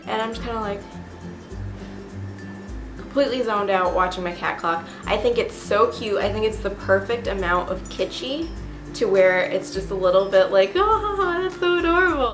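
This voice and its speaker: A young woman with a stuffy, sniffly cold, chatting casually and gushing about her monthly favorites at home. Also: mid-range voice. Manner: chatty and gushing, with many fillers. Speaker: beauty vlogger. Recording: roomy echo with music behind.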